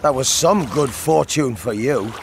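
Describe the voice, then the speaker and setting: Creaky, slow village man. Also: medium pitch, lively intonation, slight background noise. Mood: sarcastic. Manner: sneering. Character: bitter, sarcastic village man.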